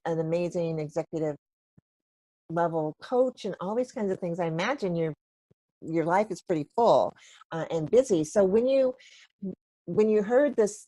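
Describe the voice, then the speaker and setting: A woman hosts a live video interview, effusive and quick to heap praise on her guest. Her voice sits a little low and sounds warm and mellow. The sound is dry and close, with no room around it. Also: no room sound, rough recording. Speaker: host.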